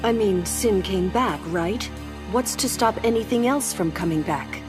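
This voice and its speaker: Actress doing stern bossy woman, cool and mocking, curt lines, medium pitch, audio drama with music under. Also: lively intonation, measured pace, close to an everyday voice. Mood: resolute. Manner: curt, mocking, forceful wording.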